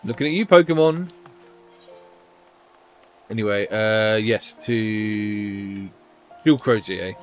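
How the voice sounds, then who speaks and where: A man's voice: on the low side, takes it slowly, pauses often. Casual male game streamer, faint music. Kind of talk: casual playthrough commentary, reading game dialogue aloud.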